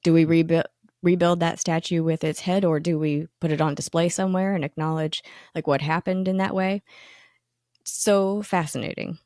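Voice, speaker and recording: A woman's voice: on the low side, fairly even intonation, normal speed, mellow, no room sound, clean studio sound. A woman co-hosting a podcast in a casual, enthusiastic chat, with frequent ums and restarts. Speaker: magazine editor, co-host.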